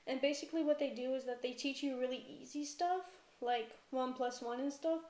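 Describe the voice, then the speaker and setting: High-voiced hesitant young woman, roomy echo. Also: normal speed, darkish tone. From Canada. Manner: hesitant, full of "um" and "like". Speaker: vlogger. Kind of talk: casual personal vlog talk.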